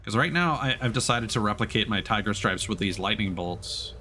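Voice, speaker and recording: A man's voice: fairly low pitch, lively intonation, a little fast, pushed and bright, slight background noise. A man streaming a game with casual, informal, sweary live commentary. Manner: informal, sweary, self-critical. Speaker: streamer, VTuber.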